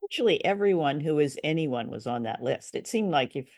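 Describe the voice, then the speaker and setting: A woman's voice: low voice, expressive, fairly quick, darkish tone. A woman co-hosting a radio drama show, chatting casually with dry, understated humour.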